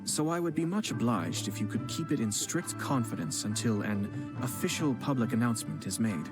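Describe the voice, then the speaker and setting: A man voicing a composed young noble clan head who speaks formally and in a measured way, with gentle teasing. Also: mid-range voice, animated, natural voice. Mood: courteous calm. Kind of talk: game voice acting. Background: game music.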